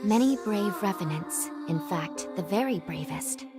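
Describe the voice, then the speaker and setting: Actress as gentle young game heroine-guide, female, earnest and formal, soft-spoken, music underneath. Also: mid-range voice, expressive, a little slow, lightly acted. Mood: solemn. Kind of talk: video game cutscene acting and narration. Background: background music.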